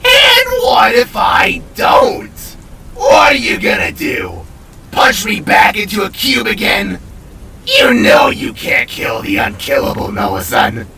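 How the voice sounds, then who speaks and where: A man playing an unhinged, bombastic glitching digital demon villain in an audio story, mocking and theatrical, with a torn, raspy screaming edge. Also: high voice, wildly swooping pitch, slow pace, bright, forceful tone, shouting, far from the mic, faint music behind, exaggerated voice. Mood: taunting.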